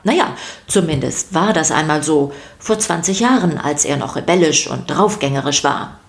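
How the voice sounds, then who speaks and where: A woman reading scripted children's story narration in an expressive, playful way. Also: low-pitched, moderate pace, vocal fry.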